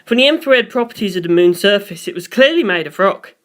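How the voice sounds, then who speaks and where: A man's voice: high voice, expressive, a little fast, fairly bright tone, smooth. A man reading scripted first-person story narration.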